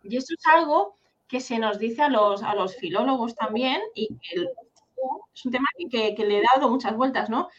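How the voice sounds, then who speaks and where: Catalan lady host, kinda high voice, lively chatty, muffled lo-fi audio. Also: normal speed. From Catalonia, Spain. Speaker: podcast host. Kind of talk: interview conversation. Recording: dull lo-fi sound.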